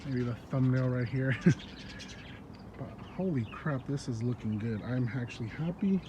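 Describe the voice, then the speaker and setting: A man's voice: medium pitch, moderate pace, noisy background. A congested man chatting casually as he works on his car outdoors, filming on a phone, laughing often. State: congested, stuffy nose. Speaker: car hobbyist vlogger. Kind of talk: casual how-to vlog narration. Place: outdoors in a yard. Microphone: phone held while working.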